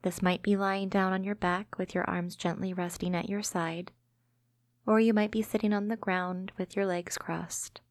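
A woman narrating a scripted guided imagery meditation in a gentle, calming, measured way. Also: medium pitch, dry recording, clean studio sound.

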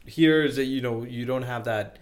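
A man's voice: medium pitch, moderate pace. A man with a Sri Lankan English accent, a talkative podcast guest in casual chat who uses lots of fillers and restarts. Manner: talkative, many fillers and repeated starts.

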